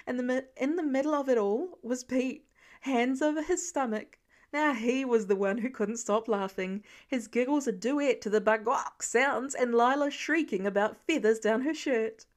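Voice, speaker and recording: High-voiced woman narrating fiction. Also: animated, measured pace. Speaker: audiobook narrator.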